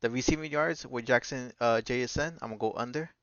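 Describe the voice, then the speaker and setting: A man's voice: mid-range voice, fast, fairly bright tone, no echo, muffled recording. A man in his thirties co-hosting a podcast, rambling confidently through his betting picks. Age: 30s. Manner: confident, rambling, repeats himself. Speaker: podcast co-host. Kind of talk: casual chat about sports bets.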